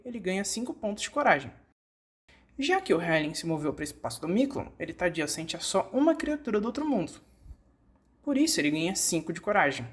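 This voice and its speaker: Brazilian woman narrating a tutorial. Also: on the low side. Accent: Brazilian Portuguese. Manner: explanatory, addresses the listener directly. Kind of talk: scripted instructional narration, fan dub.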